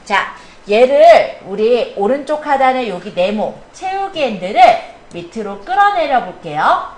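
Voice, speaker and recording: A woman's voice: on the high side, very animated, a little slow, slight background noise. A woman teaching a small computer class, guiding students step by step with patient questions. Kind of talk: hands-on classroom instruction. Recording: echoey classroom.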